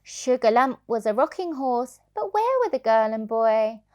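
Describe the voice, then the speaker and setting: A woman reads a children's story aloud. Her voice is a little high and moves animatedly through the verse. The delivery is expressive and sing-song, pitched for small listeners. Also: measured pace. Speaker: childminder reading stories to children.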